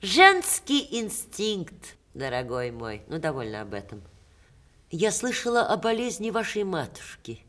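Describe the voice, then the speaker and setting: An actress playing a witty, proud middle-aged stage star in a theatrical 1960s Russian radio play, expressive and teasing with dramatic pauses. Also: female voice, fairly low pitch, exaggerated voice. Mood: tender, poised.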